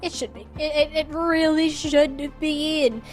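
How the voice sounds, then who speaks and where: A woman's voice: high-pitched, steady tone, unhurried, soft background music. A woman giving live esports commentary and casual game analysis, opinionated and colloquial in how she talks.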